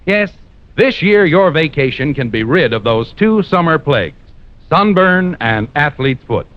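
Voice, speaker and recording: A man's voice: a little high, big pitch swings, measured pace. A man announcing in polished, emphatic 1950s radio style, reading scripted copy like a salesman. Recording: muffled old radio recording.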